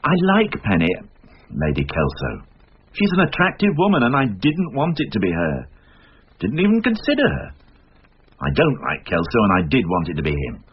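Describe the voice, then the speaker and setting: An actor playing a weary, self-reproaching police inspector in a radio crime drama. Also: male voice, on the low side, wildly swooping pitch, normal speed, husky, exaggerated voice. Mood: remorseful. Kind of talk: British radio drama acting. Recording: muffled, noisy radio drama recording.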